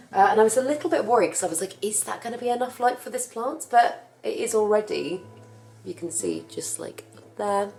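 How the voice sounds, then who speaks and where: A young woman with a husky voice from a cough, chatting casually about her plants as she works. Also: mid-range voice, a little mellow, slight background noise. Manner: chatty, rambling, self-correcting. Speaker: plant care vlogger. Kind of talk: casual chat while working.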